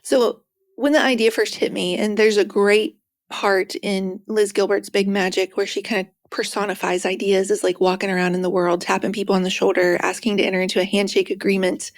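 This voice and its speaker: A soft-spoken woman with a gentle voice, an author guest telling stories in a conversational interview with playful quoted asides. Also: mid-range voice, a little fast, no room sound, clean studio sound. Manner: animated storytelling with quoted asides.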